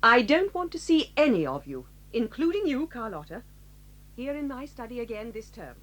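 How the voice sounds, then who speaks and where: An actress playing a stern, dignified headmistress who reproves pupils in a measured way, in a 1980s audio drama. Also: female voice, on the high side, sing-song, fairly bright tone.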